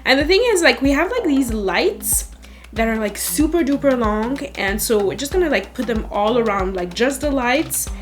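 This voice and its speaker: A woman's voice: a little high, moderate pace, faint music behind. A young woman from Africa with a mixed British and Nigerian accent, chatting frankly and laughing often over a video call while doing her makeup. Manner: lively, frank, laughs often, speaks with emphasis. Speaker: guest beauty creator.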